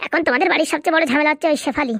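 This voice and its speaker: A woman's voice: high-pitched, rapid-fire, a bit bright, dry recording, clean studio sound. A woman voicing a scheming male henchman in a comedy cartoon, cheeky and conniving. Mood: scheming, reassuring. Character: scheming, reassuring male henchman of a village politician.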